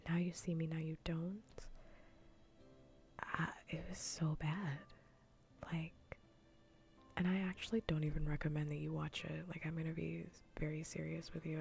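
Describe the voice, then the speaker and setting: A woman with a fairly low voice hosts a casual ASMR livestream. She speaks softly and breathily, mostly in a whisper. Her talk is informal and rambling, with phrases repeated for emphasis. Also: creaky. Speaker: livestream host. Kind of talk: soft-spoken ASMR casual livestream chat.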